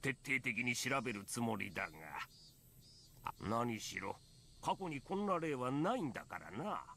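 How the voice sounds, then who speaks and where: An actor playing a gruff, folksy old police detective with in a visual novel. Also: male voice, mid-range voice, very animated, quick pace, pushed and bright, slight background noise, heavily acted. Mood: serious, musing. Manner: folksy old-man speech. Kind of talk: Japanese visual novel voice acting.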